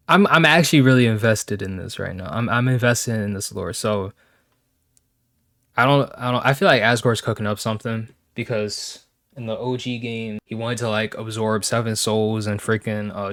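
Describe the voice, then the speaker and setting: A young man reacts to a video in a casual, slangy way. His voice sits a little low, and he talks like a host chatting to his viewers. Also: fairly bright tone, no echo, clean studio sound. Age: young adult.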